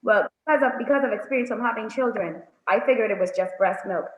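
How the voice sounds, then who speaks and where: A woman, speaking softly and hesitantly as a guest on a video call. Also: a little high, quick pace. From Bahamas. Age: 30s. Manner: soft-spoken, hesitant with frequent ums. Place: indoors, small room. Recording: roomy, dull phone audio.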